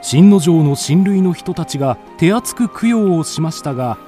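A man's voice: a little high, lively intonation, moderate pace, warm tone, soft background music. A man narrating a scripted ghost story in a polite storytelling manner.

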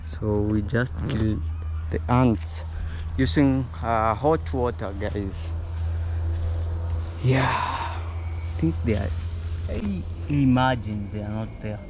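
A young Kenyan man filming a casual vlog outdoors in a rural yard, speaking to his viewers in a relaxed, low-key way. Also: slow pace, long pauses. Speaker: vlogger. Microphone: small clip-on mic. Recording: outdoor noise behind the voice.

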